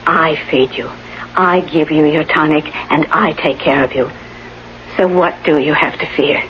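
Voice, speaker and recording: An actress playing a protective, no-nonsense caregiver in a radio drama, firm and reassuring in manner. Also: female voice, low voice. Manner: firm, reassuring, brisk dismissals. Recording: muffled, hissy old radio broadcast.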